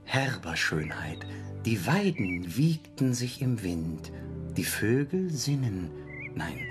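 An actor in a radio play playing a grumpy village constable who pompously dictates a crime novel, halting to correct himself. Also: male voice, on the low side. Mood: pompous, groping.

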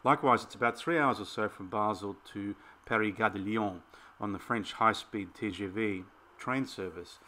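Low-voiced Australian man. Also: normal speed, sharp tone. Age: middle-aged. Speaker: travel vlog host. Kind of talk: casual presenting of travel tips.

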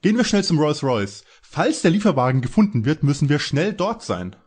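A man playing an anxious teenage boy detective in a parody audio drama, with a strained husky voice. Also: on the high side, sing-song, brisk, a little mellow, slight background noise. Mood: earnest, skeptical.

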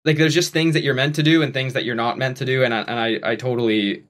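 A man in his twenties hosting a podcast from his bedroom, talking quickly with many restarts. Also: mid-range voice, fairly even intonation, a bit bright. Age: 20s. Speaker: podcast host. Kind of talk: casual chat. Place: bedroom at home. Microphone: studio mic on boom arm, headphones.

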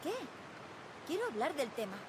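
A woman's voice: sits high, big pitch swings, normal speed, background noise. A woman playing a direct, curious teenage girl survivor in a video game, with a Castilian Spanish accent. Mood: hesitant. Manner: direct, inquisitive. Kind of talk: naturalistic video game acting. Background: rain, outdoor game ambience.

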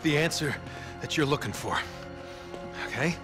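With strong cinematic music behind, a man acts a scrappy game hero in his thirties. His delivery is very varied in pitch, urgent and defiant yet casual. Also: lively intonation, normal speed, natural voice. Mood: urgent bargaining. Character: scrappy male treasure hunter hero, thirties, defiant and quick-thinking. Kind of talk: video game cutscene acting.

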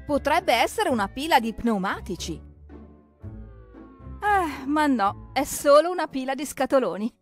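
A woman narrating a preschool cartoon in an expressive, exclamatory way, speaking to the characters. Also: high voice, measured pace. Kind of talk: lively scripted narration for preschoolers, talking to the characters. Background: background music.

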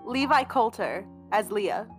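A woman's voice: medium pitch, expressive, measured pace, faint music behind. A young woman co-hosting a podcast, chatting casually with a dry, self-deprecating, joking manner. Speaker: podcast co-creator and host. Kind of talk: casual banter and thank-you message.

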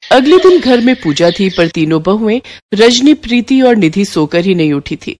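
A woman's voice: mid-range voice, sing-song, moderate pace, a little mellow. A woman narrator telling a scripted story as storytelling narration.

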